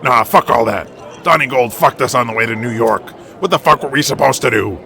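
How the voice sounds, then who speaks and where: A man's voice: medium pitch, brisk, creaky, pushed and bright, pitched above the performer's own voice. A man voicing an angry middle-aged wrestling promoter in an audio drama, defensive, heated and profane. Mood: defensive anger.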